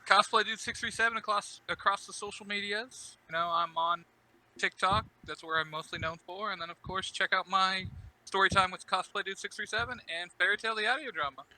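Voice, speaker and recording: A man's voice: sits high, a little fast, bright, forceful tone, low-quality recording. A man appearing as a podcast guest, chatting casually as a fan.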